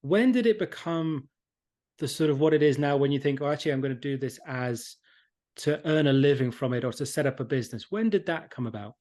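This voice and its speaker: A man's voice: mid-range voice, lively intonation, brisk, darkish tone. A man in his forties whose accent blends English and Irish, hosting a chat and rambling with many "you know"s. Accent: mix of English and Irish. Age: 40s. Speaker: podcast host. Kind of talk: casual interview chat.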